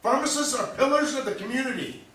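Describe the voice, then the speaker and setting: A man acting a proud, forgetful older pharmacist father, halting and blustering, in a staged play reading. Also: extremely high pitch, crowd noise. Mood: indignant. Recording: echoey hall, distant mic, faint noise.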